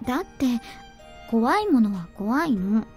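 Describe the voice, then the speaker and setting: A soft, childlike anime girl. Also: a little high, very animated, brisk. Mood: wistful. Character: childlike, gentle young woman. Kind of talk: anime visual novel voice acting. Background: soft game music.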